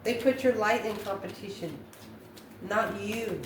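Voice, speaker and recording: A woman's voice: mid-range voice, unhurried. A woman giving an improvised tarot reading, musing aloud and often asking questions that she then answers herself. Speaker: tarot reader. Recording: roomy echo, faint background noise.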